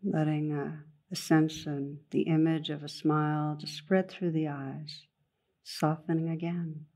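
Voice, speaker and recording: A woman guides a meditation in a low, mellow voice. Her delivery is very slow and gentle, with long pauses between phrases. The melody stays flat and even, like a teacher leading a calm practice.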